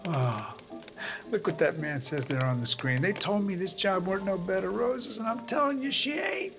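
A man's voice: sits high, sing-song, moderate pace. A man playing a frail, distracted US president in an audio drama, hesitant, vague and wistful. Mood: amused. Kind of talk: audio fiction acting. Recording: prominent music behind the voice.

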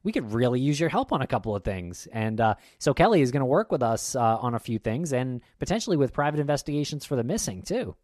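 A man's voice: medium pitch, big pitch swings, fast, hardly any pauses, a little mellow, dry recording. Chill guy on a podcast, lots of uhs, dry close mic. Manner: easygoing, hesitant with fillers. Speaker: podcast co-host. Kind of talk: casual podcast chat.

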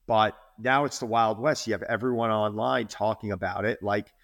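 A man's voice: fairly low pitch, fairly even intonation, normal speed, gravelly, a bit bright. A man in his forties, a fitness trainer, speaking earnestly in a podcast interview and often repeating words as he makes his point. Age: 40s.

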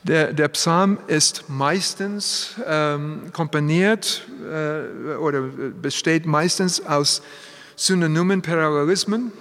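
A man preaching a sermon in German, which is not his first language; he speaks haltingly and drops in English phrases. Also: fairly high pitch, fairly bright tone. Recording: long hall reverb.

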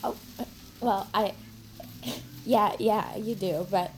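A woman's voice: mid-range voice, slow, a little hiss or hum. An actress playing a shy, hesitant teenage girl in a naturalistic audio drama. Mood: shy, nervous.

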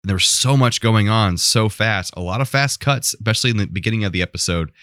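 A man's voice: fairly low pitch, a little fast, a bit bright, no room sound, studio quality. A man co-hosting a podcast, explaining his thoughts in a casual, conversational way. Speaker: podcast co-host. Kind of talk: casual chat about a TV show.

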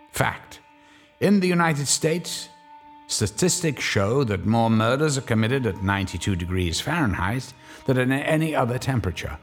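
A man introduces and closes a horror radio story with ominous, theatrical narration. His voice sits low and rises and falls dramatically. Faint music runs underneath. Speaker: host and narrator. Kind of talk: scripted horror anthology narration. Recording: studio narration over music.

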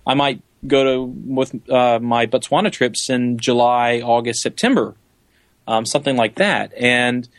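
A man's voice: mid-range voice, measured pace, no echo. A man with a mid-range voice talks as an interview guest. He explains things eagerly and conversationally, like a guide who loves the job. The sound is dry, with hardly any room around him. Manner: enthusiastic, explanatory.

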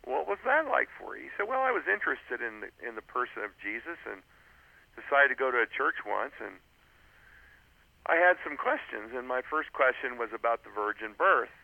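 A man on a radio interview tells a long personal anecdote in an earnest, halting way, restarting his sentences and filling gaps with "uh". His mid-pitched voice has a gravelly fry. It comes through a dry, narrow, tinny phone line. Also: sing-song, no room sound. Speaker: author and interview guest.

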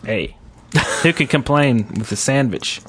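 A man hosting a podcast in a casual, chatty style, giving shout-outs to listeners. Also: medium pitch, animated, slow, husky. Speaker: podcast host. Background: music.

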